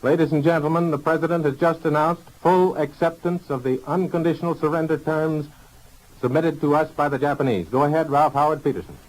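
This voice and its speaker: A man delivering a live 1940s network radio news bulletin with formal, deliberate announcing from a newsroom. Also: fairly high pitch, darkish tone, rough recording. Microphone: broadcast studio microphone.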